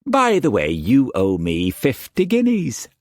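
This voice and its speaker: A man's voice: medium pitch, huge pitch swings, a little slow, no room sound, clean studio sound, pitched above the performer's own voice. A man voicing a flamboyant, theatrical old male painter who talks in grand exclamations. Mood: wheedling.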